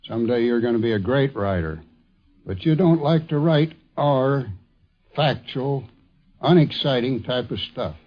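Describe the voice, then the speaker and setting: Male storyteller, unhurried, recounting personal anecdotes; lo-fi old radio sound. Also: mid-range voice, expressive. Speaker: former colleague giving a reminiscence. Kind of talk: anecdotal spoken reminiscence. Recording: dull lo-fi vintage radio recording.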